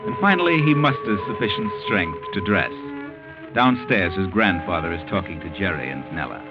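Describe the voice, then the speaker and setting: A man's voice: sits low, lively intonation, normal speed. A man narrating a 1940s radio mystery as a grave storyteller, with terse, dramatic present-tense delivery. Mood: grave, ominous. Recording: muffled vintage 1940s radio, loud music behind.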